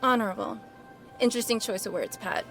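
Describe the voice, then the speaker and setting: An actress playing a young, people-pleasing hustler in a scripted podcast comedy, with an animated, rambling, sardonic way of talking. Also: female voice, a little high, brisk, faint music behind. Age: young adult. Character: young, hustling, people-pleasing woman, sharp-tongued and desperate.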